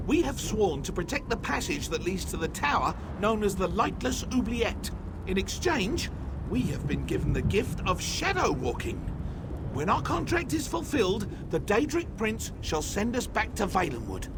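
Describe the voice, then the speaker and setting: Stately old male elf elder, high and expressive, formal game dialogue, heavy background noise. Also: exaggerated voice. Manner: formal, measured, lofty. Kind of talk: video game quest dialogue.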